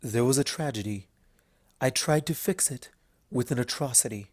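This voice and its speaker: A man voicing a solemn, guilt-burdened pony princess in a storybook reading, speaking formally and gravely in measured phrases. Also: mid-range voice, a little mellow, no echo, natural voice. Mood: regretful, subdued. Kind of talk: fan fiction storybook reading.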